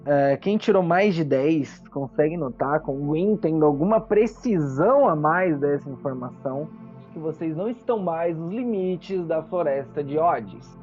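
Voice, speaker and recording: A Brazilian game master narrates a tabletop roleplaying session in a mellow voice. The storytelling is dramatic and takes its time with pauses. The recording is slightly muffled, with music underneath. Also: mid-range voice, animated.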